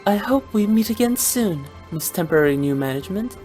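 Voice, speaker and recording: A woman's voice: medium pitch, expressive, a little fast, mellow, close to an everyday voice. An actress plays a playful young female game character with a mid-range voice. She speaks in a theatrical, teasing, mock-formal way, over faint music. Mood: breezy, teasing. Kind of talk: video game voice acting.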